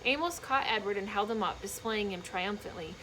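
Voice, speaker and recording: A woman reading a children's book aloud in an expressive, emphatic storytelling manner, with short explanatory asides for young listeners. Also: medium pitch, fairly quick, a little hiss or hum, poor audio.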